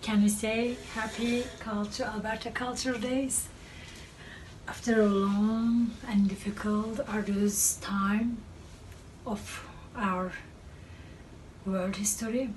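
A middle-aged woman with a Turkish accent presents her art in a slow, unhurried way. She often stops to search for the right word. She sounds far from the microphone in a cluttered studio, with faint room noise behind her. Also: mid-range voice, steady tone, darkish tone. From Turkey. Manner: slow, halting, searching for words. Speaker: artist. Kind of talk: artist's presentation to camera. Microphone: far camera.

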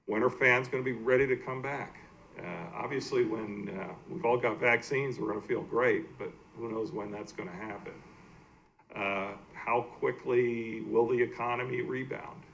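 An American man giving a slide presentation at a public meeting as a team executive, halting and plain-spoken. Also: medium pitch, fairly even intonation, gravelly, fairly bright tone, slight background noise, poor audio. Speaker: baseball team executive presenting to a city council. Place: indoor council meeting room.

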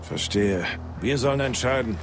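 An actor playing a tough, serious army captain in a video game, giving clipped, commanding lines. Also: male voice, mid-range voice, moderate pace, noisy background, natural voice. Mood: tense. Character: tough, resolute army captain leading a squad. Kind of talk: dramatic video game acting.

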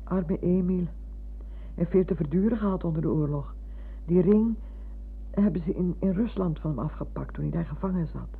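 An actress playing a chatty, sentimental old retired schoolmistress in a radio play, halting and repeating herself. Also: female voice, fairly low pitch, vocal fry, husky, full character voice. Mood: wistful. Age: older. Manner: garrulous, halting with repetitions. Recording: muffled, lo-fi old radio recording.

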